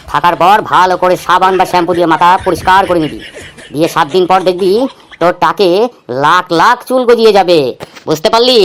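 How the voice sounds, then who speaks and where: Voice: mid-range voice, natural voice. An actor playing a smug fake guru in a comic cartoon, rattling off bossy instructions at speed. The voice wheedles and gloats, over a faintly noisy recording. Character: sly fake holy man selling baldness cures.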